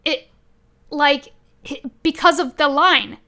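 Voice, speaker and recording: A woman's voice: high voice, slow, sharp tone. A woman co-hosting a podcast, chatting casually about video games in an enthusiastic, rambling way. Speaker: podcast co-host.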